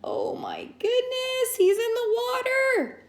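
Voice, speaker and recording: A woman teacher reading a picture book aloud in an expressive, sing-song way, stretching words and adding asides to young listeners. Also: very high voice, slow, vocal fry. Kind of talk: animated children's story read-aloud with asides to listeners.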